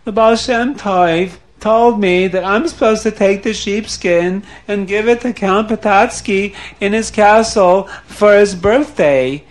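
A man's voice: high voice, slow, hardly any pauses, voice pushed up. A man doing a panicky, wailing estate manager. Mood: fearful.

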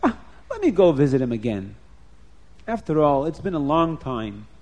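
A man voicing a gentle old father who speaks plainly. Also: fairly high pitch, big pitch swings, normal speed, muffled recording.

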